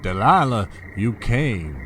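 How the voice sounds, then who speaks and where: A man's voice: on the low side, very animated, slow, a bit bright, a little hiss or hum, heavily acted. A man acting a suave, sentimental older schemer in a soap-opera audio drama. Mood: wistful, courtly.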